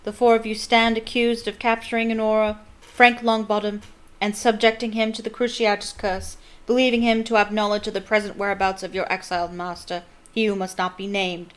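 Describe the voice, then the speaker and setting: A woman's voice: mid-range voice, fairly even intonation, moderate pace, lightly acted. A woman voicing a stern, furious older male judge who bellows harsh, formal sentences in an audiobook. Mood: bellowing.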